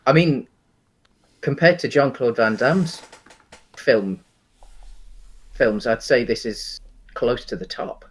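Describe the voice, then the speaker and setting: A man co-hosting a casual film discussion, speaking in a hesitant, halting way and qualifying his opinions as he goes. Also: medium pitch, expressive, husky. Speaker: co-host of a video game film discussion show.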